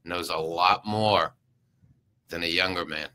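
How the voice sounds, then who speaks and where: A man's voice: deep voice, measured pace, bright, forceful tone. A man chatting casually on a podcast, laid-back and brief. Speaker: podcast co-host. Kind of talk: casual chat.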